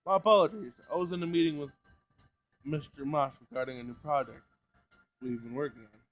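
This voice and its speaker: A man's voice: a little high, a little fast, natural voice. A man voicing a proud scientist who speaks in a formal, wordy and precise manner. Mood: earnest. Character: proud, formal scientist competitor in a visual novel. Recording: music behind the voice.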